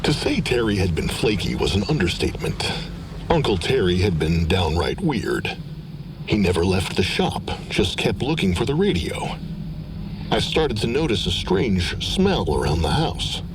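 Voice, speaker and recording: A man with a low voice narrates a scripted horror tale in the first person. Clear ambient noise runs behind his reading.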